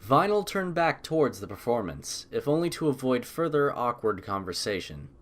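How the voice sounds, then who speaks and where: Male audiobook narrator, mid-range voice, very lively melodic reading of scripted prose. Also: mid-range voice, normal speed.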